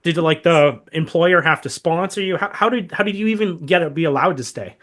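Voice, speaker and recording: A man's voice: fairly high pitch, fairly quick, fairly bright tone. A man hosting a casual podcast interview, talking informally in choppy, restarted phrases. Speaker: podcast host.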